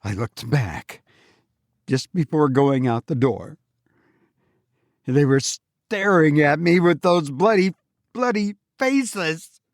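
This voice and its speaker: A man hosting a podcast and telling stories informally in the first person, with a halting, hesitant delivery. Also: a little high, lively intonation, no room sound, clean studio sound. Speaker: podcast host and narrator.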